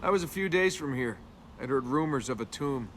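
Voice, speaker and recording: Casting: male actor as flippant young rogue adventurer for a video game, very lively pitch swings, sarcastic banter, clear background noise. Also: fairly quick, natural voice. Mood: flippant, boastful. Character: cocky, wisecracking young male adventurer and tomb robber.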